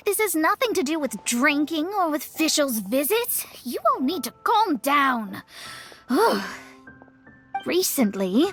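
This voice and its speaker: A woman's voice: high voice, a bit bright, exaggerated voice. A woman voicing a proud, earnest young female astrologer in a video game, self-assured and a little prim as she explains herself. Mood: defensive.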